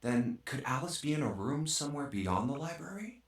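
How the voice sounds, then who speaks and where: An actor playing a curious young man in a video game, eager and thinking out loud. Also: medium pitch, sing-song, moderate pace, husky, dry recording. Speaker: voiced game protagonist.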